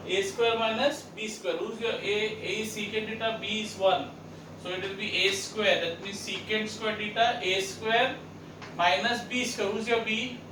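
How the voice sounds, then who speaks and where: A man's voice: high-pitched, distant mic. A man teaching a math lesson, walking students through each step with repeated questions. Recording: echoey room, voice set back from the mic, background noise.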